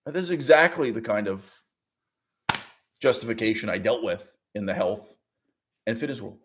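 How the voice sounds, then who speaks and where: A man giving argumentative online commentary in an emphatic, sardonic way, with rhetorical questions and deliberate repetition. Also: fairly low pitch, lively intonation, noisy background. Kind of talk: argumentative commentary with read-out quotes.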